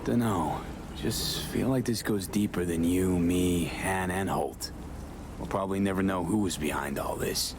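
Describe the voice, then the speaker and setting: Low, guarded mercenary. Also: male voice, a little slow, noisy background. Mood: doubtful, pensive. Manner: terse, understated. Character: guarded, pragmatic male mercenary investigator. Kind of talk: cinematic video game acting. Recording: music behind the dialogue.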